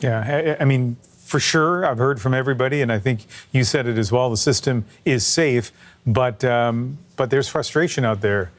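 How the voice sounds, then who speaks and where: A man's voice: mid-range voice, moderate pace. Conversational male news interviewer. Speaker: news show host, interviewer.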